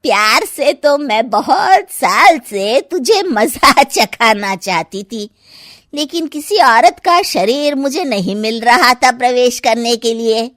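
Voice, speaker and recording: Woman acting slow, menacing possessed daughter-in-law. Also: fairly high pitch, lively intonation, fairly bright tone, no echo, clean studio sound. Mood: threatening. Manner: menacing, commanding, drawn-out words. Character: young daughter-in-law feigning a vengeful mother's ghost. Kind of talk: melodramatic Hindi story-video acting.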